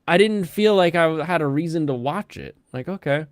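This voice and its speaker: Animated rambling man, slightly high voice. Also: fairly quick, no room sound, clean studio sound. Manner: rambling, animated, slips into mocking quoted voices. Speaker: host. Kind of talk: casual anime review chat.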